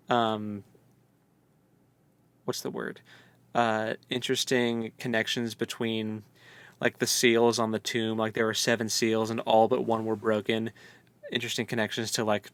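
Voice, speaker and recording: Even-toned man, dry close sound. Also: on the low side, unhurried, long pauses, clear, resonant tone, no room sound. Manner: speculative, colloquial. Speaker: co-host of a book read-along podcast. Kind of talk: casual book discussion.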